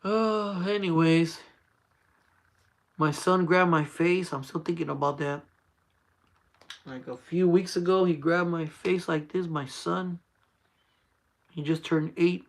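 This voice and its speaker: A man's voice: on the high side, fairly even intonation, takes it slowly, creaky, pauses often. A man in his forties hosting a casual faith talk for his channel, speaking in an earnest, repetitive, urging way. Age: 40s. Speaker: channel host.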